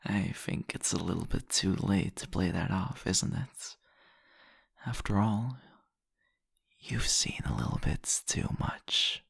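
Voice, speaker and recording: Slow, husky seductive vampire played by a man. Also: low-pitched, a bit bright, no room sound, studio quality, exaggerated voice. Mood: teasing. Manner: slow, teasing, murmuring, deliberate. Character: seductive, possessive male vampire host, teasing and predatory. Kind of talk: intimate ASMR roleplay addressed to the listener.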